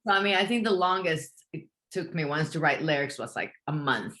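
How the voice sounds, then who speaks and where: Bright-voiced Finland-Swedish woman, hesitant. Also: deep voice, expressive, a little fast. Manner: soft-spoken, hesitant, lots of fillers and restarts. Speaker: guest singer-songwriter. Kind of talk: casual interview chat. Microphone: remote call from home.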